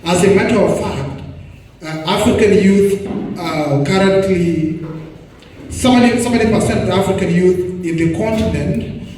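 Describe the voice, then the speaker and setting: A man's voice: high-pitched, normal speed, darkish tone, a little hiss or hum. A young man from South Sudan speaking formally on a student panel, hesitant and wordy. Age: young adult. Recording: cavernous echoey hall, distant mic.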